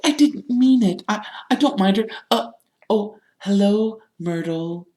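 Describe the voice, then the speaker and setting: Man voicing an anxious, high-pitched girl. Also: very animated, slow, darkish tone, heavily acted. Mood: frantic. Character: anxious, falsely bright, placating young girl. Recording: roomy, echoing narration recording.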